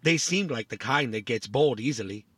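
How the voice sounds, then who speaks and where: An actor playing a small, vain, philosophical robot in a family audio drama, boastful and politely fussy. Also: male voice, mid-range voice, expressive, normal speed, fairly bright tone, no room sound.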